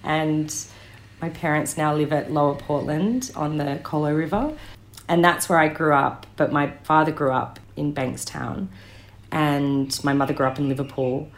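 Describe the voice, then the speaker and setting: An Australian woman in her thirties, an interview guest telling family stories in a thoughtful way, pausing with ums and restarting her sentences. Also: low voice, fairly even intonation. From Western Sydney, Australia. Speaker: interview guest, director and actor. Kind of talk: reflective conversational storytelling.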